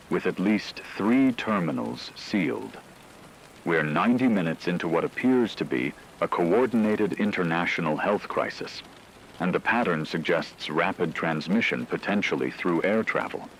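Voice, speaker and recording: A man playing a grave radio news anchor, delivering a scripted breaking report in a formal, measured, factual manner. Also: low voice, a little hiss or hum, poor audio.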